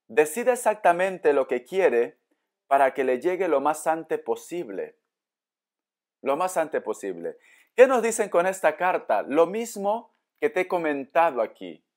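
A man giving an informal tarot reading straight to viewers, explaining as he goes and answering his own questions. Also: on the high side. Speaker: tarot reader, host. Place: indoor room with books at a table.

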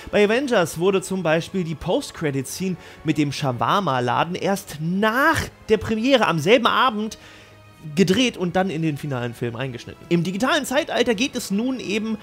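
A man with a high-pitched voice hosts a scripted video commentary on film. He addresses viewers directly and leans on his words with emphasis. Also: very animated, normal speed, a bit bright, faint music behind. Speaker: YouTube host on film news.

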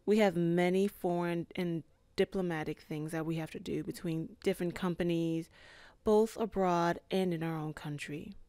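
Cool female boss, woman voicing her, lowish voice, calm and measured, dry close mic. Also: no room sound, close to an everyday voice. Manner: calm, measured, softly flirtatious. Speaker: ASMR roleplay performer. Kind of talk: ASMR roleplay.

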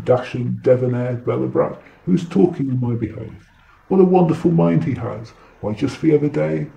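A man's voice: mid-range voice, vocal fry, dark tone, lo-fi sound. A man playing a vain, boastful alien who relays messages telepathically in a pompous, theatrical way for a comic audio drama. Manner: pompous, smug, theatrical, easily sidetracked.

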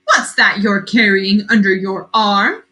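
A woman voicing a menacing male goat-sucking monster who lives under a bridge in a storybook, drawing out his threats slowly. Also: medium pitch, lively intonation, pushed and bright.

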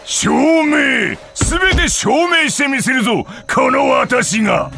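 Game role: manic belligerent male challenger, bombastic, gravelly, swooping delivery. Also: extremely high pitch, big pitch swings, slow, faint music behind, heavily acted. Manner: bombastic shouting, theatrical. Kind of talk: video game cutscene acting.

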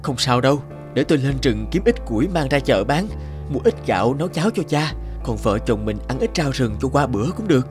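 A man voicing a poor, devoted young peasant husband in an animated folk tale, polite and earnest. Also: medium pitch, brisk, music in the background, lightly acted. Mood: reassuring.

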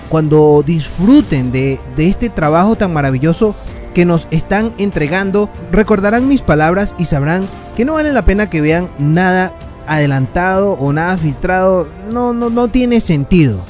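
A man's voice: high-pitched, lively intonation, normal speed, a little mellow, faint music behind. A man hosting his channel, giving a casual spoken film review as a voice-over. He talks earnestly and addresses his viewers as friends.

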